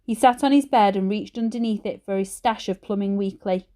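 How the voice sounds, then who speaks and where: A woman teacher reading a children's story aloud in an expressive storytelling style. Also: medium pitch, fairly quick.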